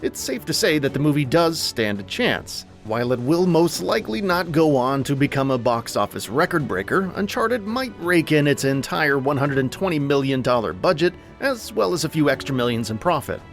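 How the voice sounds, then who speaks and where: A man's voice: a little high, big pitch swings, normal speed, few pauses, soft background music. A man reading scripted narration as the host of a movie list show, in a polished, emphatic presenter's delivery. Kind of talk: scripted video essay narration.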